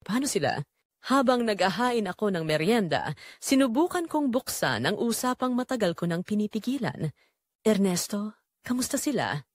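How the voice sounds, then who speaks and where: Expressive woman narrating a dramatic story. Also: mid-range voice, normal speed, no echo, studio quality. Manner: emotive, confessional storytelling. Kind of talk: dramatic scripted first-person narration.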